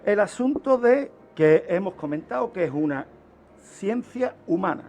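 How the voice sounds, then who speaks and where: A man's voice: sits high, lively intonation, measured pace, darkish tone, soft background music. A man with an accent from Spain explaining as a guest expert on a radio show, asking questions and answering them himself. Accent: Spanish, from Spain. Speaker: criminologist guest.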